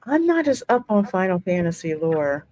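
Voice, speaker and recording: A woman hosting a gaming channel, reading game text aloud and adding expressive, informal commentary. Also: lowish voice, normal speed.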